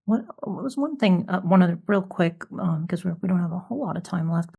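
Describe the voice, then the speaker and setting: A woman's voice: on the low side, lively intonation, a little fast, creaky, dark tone, husky. A woman hosting a podcast, asking questions in a casual, chatty interview style. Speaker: podcast host.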